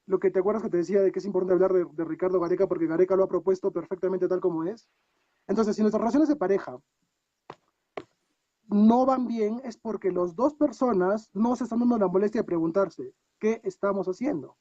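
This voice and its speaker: A man's voice: sits high, fairly quick, warm tone, dry recording, muffled recording. A man with a Peruvian accent, a guest on a video call, talking reflectively and asking himself many rhetorical questions. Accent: Peruvian Spanish. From Peru. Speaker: guest coach. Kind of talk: casual interview talk.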